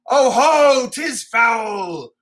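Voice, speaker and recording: A man's voice: very high voice, expressive, voice pushed up, full character voice. A man doing a raging old king bellowing at a storm. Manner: shouting, drawn-out declamation.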